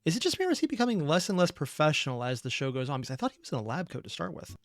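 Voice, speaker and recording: A man's voice: fairly high pitch, very animated, racing. A man hosting a reaction video, giving informal, opinionated, analytical commentary off the cuff.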